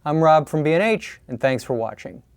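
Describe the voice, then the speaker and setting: A man's voice: fairly high pitch, sing-song, a little fast, over music. An American man in his forties giving plain-spoken, scripted tutorial narration in a studio. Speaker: tutorial host. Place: studio, indoors.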